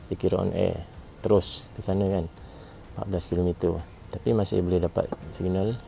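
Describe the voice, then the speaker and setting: A Malaysian man with a low, creaky voice and a dark, relaxed tone. He reviews a gadget informally, repeating phrases and adding little tags as he goes. There is faint background noise on the recording. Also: a little fast. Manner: informal, repeats himself, frequent "eh" tags and throat clearing. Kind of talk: casual product review vlog.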